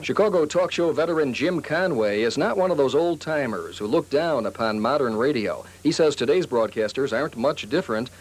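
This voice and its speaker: A man's voice: mid-range voice, expressive, fairly quick, hardly any pauses, low-quality recording. A middle-aged man delivering scripted broadcast news narration as a television reporter. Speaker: TV news media critic and reporter.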